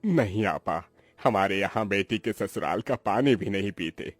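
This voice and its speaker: A man's voice: fairly low pitch, lively intonation, a little slow, slightly rough, no echo, faint music behind. A man voicing a poor, proud and pious older uncle in a sentimental cartoon drama, speaking earnestly. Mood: polite, reserved. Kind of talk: melodramatic cartoon voice acting.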